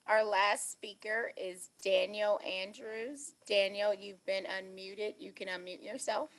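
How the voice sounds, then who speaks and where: Woman clerk calling speakers, slow and formal. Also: mid-range voice, little pitch movement, fairly bright tone, poor audio. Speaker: meeting clerk. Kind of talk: procedural meeting announcements. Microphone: remote call audio.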